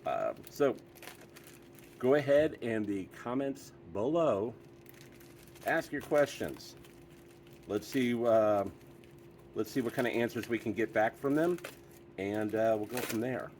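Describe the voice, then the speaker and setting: A man's voice: medium pitch, lively intonation, slow pace, long pauses, darkish tone, a little hiss or hum. A man hosting a casual product review, talking in an easygoing, chatty way with frequent fillers.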